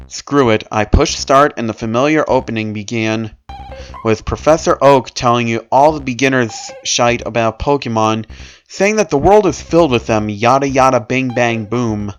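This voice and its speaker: A man's voice: medium pitch, clear, resonant tone. A man narrating a story aloud in an emphatic, theatrical way, occasionally tripping over a word. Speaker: narrator reading horror fan fiction aloud. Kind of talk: dramatic story reading with amused asides.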